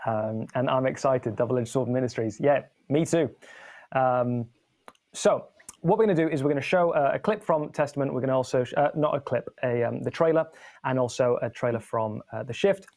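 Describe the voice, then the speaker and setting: A man's voice: medium pitch, brisk, a little mellow. A man from Spain hosting a livestream in a casual, chatty, informal way. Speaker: livestream host, filmmaker.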